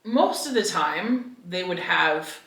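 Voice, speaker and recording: A woman's voice: on the low side, animated, slow, creaky, a bit bright. A woman from the American Midwest with an American accent, co-hosting a casual podcast discussion on faith. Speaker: podcast co-host. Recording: roomy echo.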